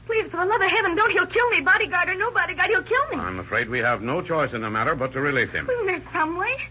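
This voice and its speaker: A frightened young wife who pleads and frets in a high, bright voice. It is 1940s radio acting, heard through a dull, muffled old recording. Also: female voice, wildly swooping pitch, fast, full character voice. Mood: pleading. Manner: pleading, fearful.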